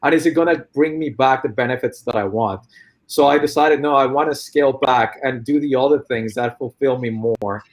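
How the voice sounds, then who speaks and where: A man speaking as a guest on a live talk, reflective and measured. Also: medium pitch. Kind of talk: interview answers.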